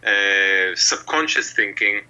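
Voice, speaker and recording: A man's voice: lowish voice, lively intonation, slow, pushed and bright, clean tone, lo-fi sound. A middle-aged man from Israel, a mentalist telling his story in an interview, rambling with many fillers. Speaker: mentalist guest. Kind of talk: relaxed interview talk.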